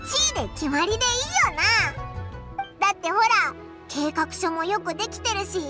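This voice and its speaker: Squeaky, excitable little fairy. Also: female voice, normal speed, over loud music. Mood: eager. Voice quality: squeaky, childlike. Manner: eager, blunt, boyish phrasing. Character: tiny childlike floating fairy companion, excitable and cheeky. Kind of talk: video game voice acting.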